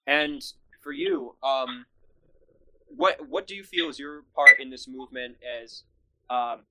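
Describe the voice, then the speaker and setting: A man's voice: mid-range voice, lively intonation, pushed and bright, no room sound, rough recording. A man interviewing a guest, asking long questions with many "you know" fillers. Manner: measured, asks with "you know".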